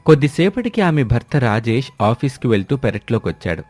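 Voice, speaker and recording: A man's voice: mid-range voice, big pitch swings, fast, darkish tone. Male story narrator over music. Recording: music behind the voice.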